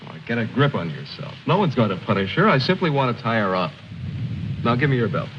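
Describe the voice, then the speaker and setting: An actor playing a composed, authoritative army lieutenant, articulate and condescending, in old film drama style. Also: male voice, medium pitch, sing-song, fast talker, lightly acted. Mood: stern, impatient. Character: composed, authoritative male army lieutenant leading stranded soldiers. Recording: dull, noisy old film soundtrack.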